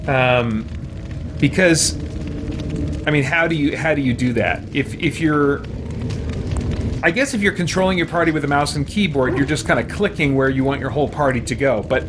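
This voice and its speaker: A man's voice: mid-range voice, moderate pace. Man musing casually over background noise. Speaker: gaming streamer playing a role-playing game. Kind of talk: casual let's-play commentary.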